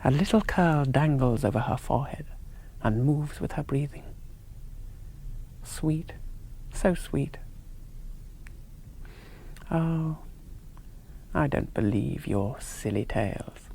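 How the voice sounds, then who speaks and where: A man playing an earnest young English soldier in a radio drama, speaking in hushed, measured, romantic narration. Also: fairly high pitch, vocal fry, slightly rough, heavily acted, rough recording. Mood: tender, wistful. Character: earnest young British soldier hero on a cycling tour. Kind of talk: 1970s radio drama monologue and dialogue.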